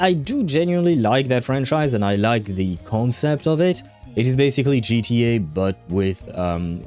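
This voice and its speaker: A man hosting a casual livestream, talking in a rambling, halting way as he thinks aloud. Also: mid-range voice, a little mellow. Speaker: livestream host. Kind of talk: casual livestream chat over a game. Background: faint music.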